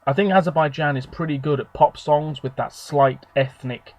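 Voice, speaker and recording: A man hosting his own video, talking casually and at length about his favourite entries, a little hoarse and husky from a sore throat. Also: fairly high pitch, normal speed. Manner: rambling, chatty, opinionated. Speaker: video host. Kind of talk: casual solo commentary.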